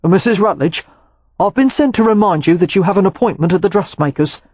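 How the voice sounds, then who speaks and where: A woman's voice: mid-range voice, lively intonation, a little fast, a little mellow, slightly rough, no echo, voice pushed up. A woman voicing a young male hotel manager who is dutiful and stiff, speaking formally.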